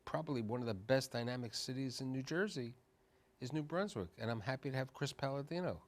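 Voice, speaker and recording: Animated man hosting an interview. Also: medium pitch, fairly quick, a little hiss or hum. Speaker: television interview host. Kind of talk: conversational biographical interview.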